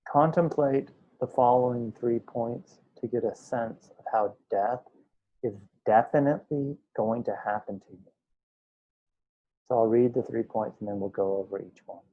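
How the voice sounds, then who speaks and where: A man guides a meditation as a teacher would, in a middle-pitched voice. He gives instructions slowly, in short phrases separated by pauses. The recording is dull and lo-fi. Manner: unhurried, pausing between short phrases.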